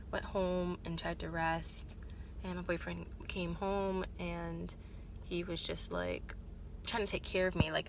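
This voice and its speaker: A woman's voice: fairly low pitch, creaky, bright, forceful tone, slight background noise. A young woman with a cold, sounding congested and nasal, chatting casually to camera in a rambling way full of fillers and self-corrections. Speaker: vlogger.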